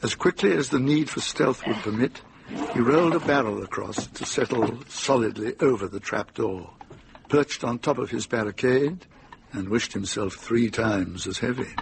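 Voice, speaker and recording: An actor narrating a medieval mystery as the storyteller of a radio drama. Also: male voice, medium pitch, moderate pace, gravelly, lightly acted. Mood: suspenseful narration. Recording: noisy vintage radio drama recording.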